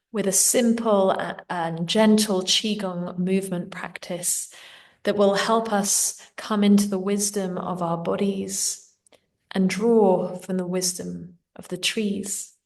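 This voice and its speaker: A woman guides a meditation at a very slow, unhurried pace. Her delivery is gentle and soothing, with a medium pitch. The voice sits some way back from the microphone. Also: distant mic.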